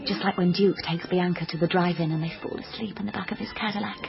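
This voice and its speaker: A woman's voice: fairly low pitch, steady tone, quick pace, vocal fry. An actress playing a naive, dreamy, chatty young woman in a radio drama, wry and melodramatic, by turns narrating and flustered. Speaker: lead character and narrator. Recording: dull lo-fi radio sound under music.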